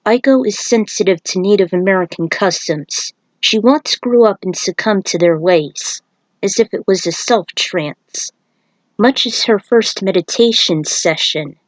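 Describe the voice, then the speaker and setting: A woman's voice: medium pitch, a little slow, dry recording, lightly acted, low-quality recording. A woman voicing a solemn male thunder god who speaks in a grave, formal way, as in a storybook. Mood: solemn, instructive. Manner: grave, formal, stately phrasing.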